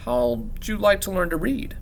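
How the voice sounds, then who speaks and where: Man reading as a friendly, higher-voiced aid worker. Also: big pitch swings, measured pace, a little hiss or hum, full character voice. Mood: encouraging. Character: friendly, encouraging Irish male aid worker.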